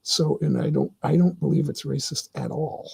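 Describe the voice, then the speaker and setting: A middle-aged man hosting his own livestream, talking casually and answering chat in a rambling, opinionated way with many halting restarts. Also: fairly high pitch, gravelly, darkish tone, husky. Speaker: livestream host.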